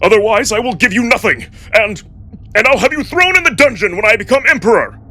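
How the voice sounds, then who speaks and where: A man's voice: high-pitched, expressive, fairly quick, bright, forceful tone, voice pushed up. A man voicing a defiant young male wolf prince in a dramatic story, impassioned and pleading. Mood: desperate defiance. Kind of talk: dramatic audiobook-style character acting.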